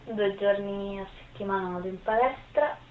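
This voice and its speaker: A woman's voice: mid-range voice, moderate pace. A woman in her early twenties giving short, informal interview answers. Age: 20s. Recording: thin, echoey phone-like sound.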